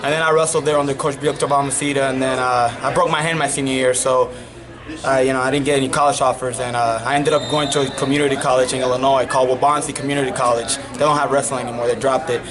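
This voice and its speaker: A young man from the Dominican Republic, a native Spanish speaker, giving a relaxed post-match interview as a college wrestling coach, full of you-knows. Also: mid-range voice, fast. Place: wrestling tournament arena. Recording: loud arena crowd behind. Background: crowd noise.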